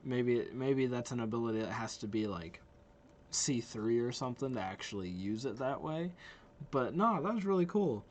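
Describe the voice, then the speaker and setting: A man's voice: mid-range voice, animated, moderate pace, slight background noise. A young man giving casual game reaction commentary, informal and exclamatory as he muses aloud. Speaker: video game reaction host.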